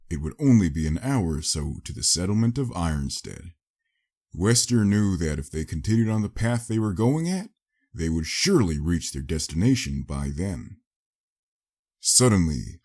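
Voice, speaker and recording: Deep, husky male story narrator. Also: a little mellow. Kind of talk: dramatic scripted story narration.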